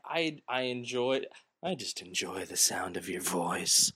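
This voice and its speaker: A man's voice: sits low, unhurried, sharp tone, breathy edge, no echo. A man hosting a podcast in casual chat, joking and rambling with lots of fillers. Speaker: podcast host.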